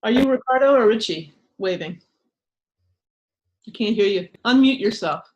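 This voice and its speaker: A woman's voice: on the high side, lively intonation, normal speed, low-quality recording. An older woman leading a casual workshop from her home shrine room over a webcam, chatty and full of ums and restarts. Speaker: meditation teacher leading a workshop. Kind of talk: casual online workshop talk.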